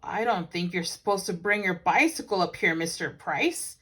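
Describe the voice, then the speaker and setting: A woman's voice: fairly low pitch, animated, normal speed, a bit bright, breathy edge. Lady reading as a polite little boy bear in a kids storybook, very earnest. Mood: puzzled.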